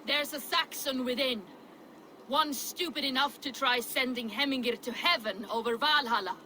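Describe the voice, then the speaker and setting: An actor playing an enraged Viking villager who shouts accusations in a game cutscene. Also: male voice, very high-pitched, normal speed, a little hiss or hum, exaggerated voice, low-quality recording. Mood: furious.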